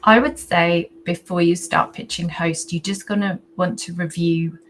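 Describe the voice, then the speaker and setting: A woman's voice: on the low side, steady tone, measured pace, a bit bright, slight background noise, poor audio. A woman guest on a podcast interview, giving practical advice into a condenser USB mic at home. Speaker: podcast guest booking agency founder. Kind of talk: casual interview answers. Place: home at evening with ring light. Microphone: condenser USB microphone.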